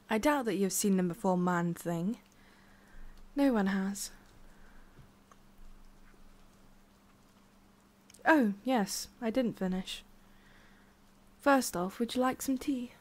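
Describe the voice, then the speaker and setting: A woman playing a haughty, prickly witch with a secret soft side in an ASMR roleplay, soft-spoken and halting, slipping into whispery breaths. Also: mid-range voice. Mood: haughty, grudging.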